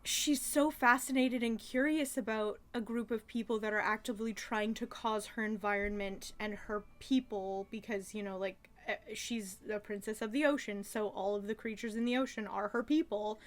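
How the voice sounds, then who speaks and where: A woman giving casual, rambling commentary as a reviewer, gushing and emphatic in an animated way. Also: on the high side, moderate pace. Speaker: reviewer reacting to a childhood cartoon.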